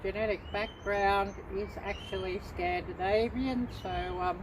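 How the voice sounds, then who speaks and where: Slow-talking woman chatting on a livestream. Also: mid-range voice, fairly even intonation, background noise. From Australia. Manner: unhurried, chatty, many ums. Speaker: livestream host. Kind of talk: casual livestream chat with viewers.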